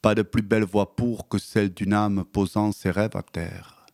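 A man with a southwestern French accent and a fairly low voice, talking with a radio host. His speech is halting and full of pauses in conversation, and steady and rhythmic when he recites. The studio sound is dry and close. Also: creaky, dry recording. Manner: measured, hesitant in chat, rhythmic when reciting. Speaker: guest author. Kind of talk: radio interview and recited poem.

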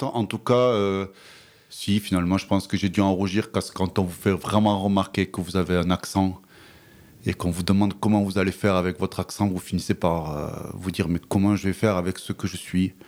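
A man with a southern French accent from around Albi recites a rhythmic, lyrical spoken-word poem in a radio studio. His voice sits fairly low. The sound is dry and close. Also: fairly even intonation, moderate pace, a little mellow, dry recording. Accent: southern French, Albi area. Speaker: guest poet.

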